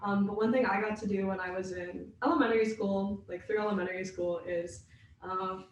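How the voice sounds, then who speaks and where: Young adult co-host from the United States, mid pitch, careful and self-deprecating, far off mic with a faint hiss. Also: fairly even intonation, a little fast. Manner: thoughtful, hedging, self-deprecating. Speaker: podcast co-host. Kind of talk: casual podcast chat. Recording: very echoey, distant from mic.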